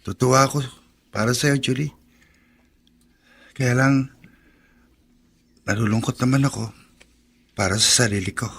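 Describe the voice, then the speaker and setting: A man's voice: medium pitch, fairly even intonation, a little slow, creaky, long pauses, fairly bright tone, husky, exaggerated voice. A man playing a shy, lovestruck young construction worker in a radio drama, with a hesitant, stammering delivery. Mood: bashful, sad.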